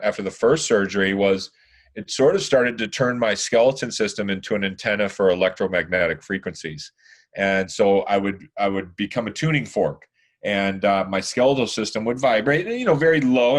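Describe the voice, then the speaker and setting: Hoarse guy in his 40s, lowish voice, rambles on with lots of um and you know. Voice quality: deeper, hoarse voice. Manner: rambling, many fillers and restarts. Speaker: podcast guest. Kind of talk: casual chat.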